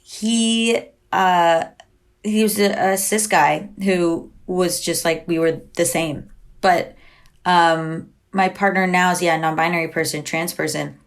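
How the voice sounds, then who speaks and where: Chatty woman with a lowish voice. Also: fairly even intonation, slow pace. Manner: chatty, heavy use of "like", acts out quoted exchanges; frequent laughs and restarts. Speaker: podcast guest. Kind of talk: candid conversational interview.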